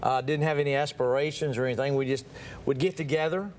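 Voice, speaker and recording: A man, a gospel singer recalling his career in an easygoing, relaxed way on a TV talk show. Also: medium pitch, quick pace, a little hiss or hum. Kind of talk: casual TV talk show chat.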